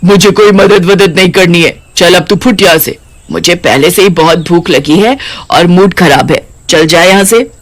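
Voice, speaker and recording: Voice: medium pitch, normal speed, natural voice. A performer voicing a hungry, blunt, boastful but secretly kind-hearted cartoon witch with brash, colloquial delivery. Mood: irritated. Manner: brash, taunting, colloquial scolding. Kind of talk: Hindi cartoon character voice.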